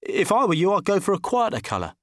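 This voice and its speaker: A man's voice: sits high, wildly swooping pitch, fast, dry recording, clean studio sound, pitched above the performer's own voice. A man playing an exasperated, sarcastic divorced man who nags and scolds emphatically in comic audiobook dialogue. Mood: bossy.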